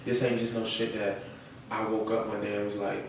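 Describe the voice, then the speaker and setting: A man's voice: lowish voice, level tone, fairly quick, creaky. A young man talking casually and slangily in a podcast interview. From North Carolina, United States. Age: young adult. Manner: slangy, often checks with "you feel me". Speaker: rapper being interviewed. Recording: echoey room, distant mic, noisy.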